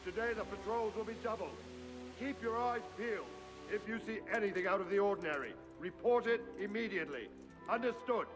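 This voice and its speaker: A man's voice: high voice, a little fast, natural voice. A man playing a stern medieval guard commander giving orders in a video game. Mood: commanding. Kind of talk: video game cutscene acting. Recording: long reverb under loud music.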